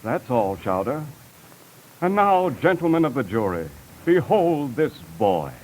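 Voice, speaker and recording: An actor playing a bombastic defense lawyer with florid, theatrical pleading, in 1930s radio comedy style on an old recording. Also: male voice, mid-range voice, sing-song, a little slow, darkish tone, a little hiss or hum, rough recording. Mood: coaxing. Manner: florid, theatrical coaxing and oratory.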